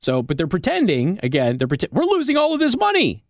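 An American man works as a talk radio host, speaking in an emphatic, conversational monologue. His voice sits slightly high and moves very freely up and down as he makes his points. The sound is dry and close, like a studio broadcast microphone. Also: wildly swooping pitch, a little fast, no echo. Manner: emphatic, argumentative, rhetorical check-ins like "okay?". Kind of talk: opinionated news commentary, conversational monologue.

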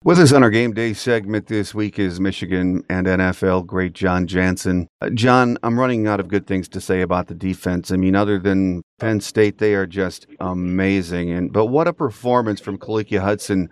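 Deep-voiced American sports podcast host. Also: male voice, moderate pace, few pauses, clean tone, dry recording, clean studio sound. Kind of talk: conversational sports interviewing.

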